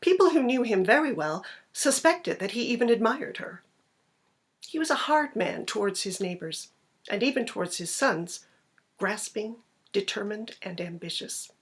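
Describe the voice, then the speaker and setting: Female storyteller for literary short fiction, husky-edged voice, middle pitch. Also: expressive, normal speed. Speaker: narrator reading a short story. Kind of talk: scripted storytelling narration.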